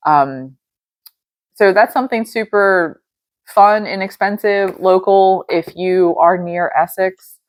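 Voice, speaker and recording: A woman's voice: medium pitch, slow, dry recording. A middle-aged woman hosting her own podcast from her dining room, chatting in a rambling way with lots of fillers, a little nasal and congested. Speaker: podcast host. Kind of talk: casual solo podcast chat.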